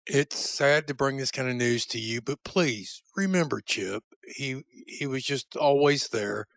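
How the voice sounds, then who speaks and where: A man giving a solemn, sincere spoken tribute as a producer, in a halting way. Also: medium pitch, vocal fry, a bit bright, dry recording. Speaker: audio drama writer and producer.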